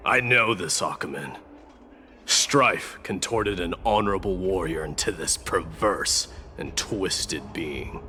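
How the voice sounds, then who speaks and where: A man's voice: low-pitched, pushed and bright, exaggerated voice. A man voicing a proud, grim warrior in a fantasy game, speaking in a solemn, terse manner. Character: stern, grave male warrior, grim and proud. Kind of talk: fantasy video game acting.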